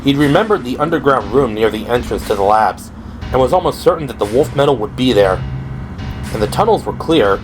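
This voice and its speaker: A man's voice: mid-range voice, expressive, fairly quick, poor audio. A man delivering scripted, dramatic narration for an audio drama. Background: dramatic background music.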